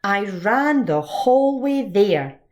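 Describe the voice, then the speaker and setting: A woman leads a read-along lesson for young children. Her delivery is slow and very animated in pitch. She explains things simply and expressively, as a teacher would. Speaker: storyteller and teacher reading to children.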